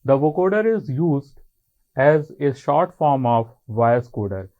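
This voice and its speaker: Narrow phone-like sound, man, Indian accent, slow-paced technical lecturer, explanatory, short deliberate phrases. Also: medium pitch, expressive. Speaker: college lecturer. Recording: muffled, phone-like narrow band.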